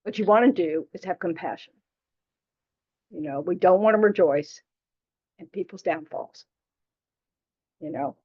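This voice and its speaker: A woman's voice: fairly low pitch, measured pace, long pauses. A woman teaching Buddhist practice to a small class in a chatty, frank way, often teasing her students and saying "you know". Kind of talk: casual dharma teaching to a class. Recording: dull lo-fi class recording.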